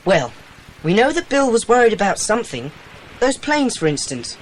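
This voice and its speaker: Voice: mid-range voice, sing-song, moderate pace, slight background noise, natural voice, low-quality recording. An actor playing an earnest, practical British boy in a dramatised children's adventure audiobook, with a well-spoken manner. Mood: serious, worried.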